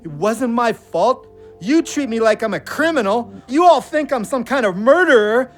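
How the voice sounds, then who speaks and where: An actor playing a resentful, defensive, hot-tempered ex-convict in an audio drama. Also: male voice, very high voice, lively intonation, normal speed, faint music behind.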